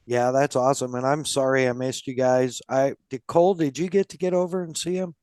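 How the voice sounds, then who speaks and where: Rambling male podcast host, smooth dry voice. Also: mid-range voice, steady tone, brisk, a little mellow, no echo. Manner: rambling, many fillers and restarts. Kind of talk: casual interview chat.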